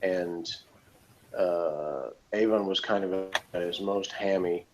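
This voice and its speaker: Low-pitched man, faint background noise, casual opinionated chat, rambling phrasing. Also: slow, muffled recording. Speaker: review show co-host.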